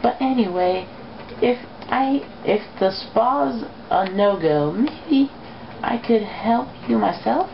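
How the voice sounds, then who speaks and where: A gentle female cartoon pony played by a woman speaks softly and hesitantly, full of concern. The pitch sits high and moves freely. The recording is muffled with clear background noise. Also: lively intonation, takes it slowly, full character voice. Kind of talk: amateur fan fiction read-aloud. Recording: muffled, noisy home recording.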